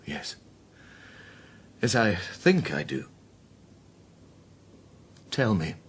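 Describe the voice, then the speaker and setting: An actor playing a quiet, perceptive middle-aged Scottish man in a radio drama, troubled and gentle, with a measured, understated delivery. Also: fairly low pitch, sing-song, gravelly, breathy edge, exaggerated voice. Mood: quiet, probing. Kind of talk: naturalistic radio drama acting.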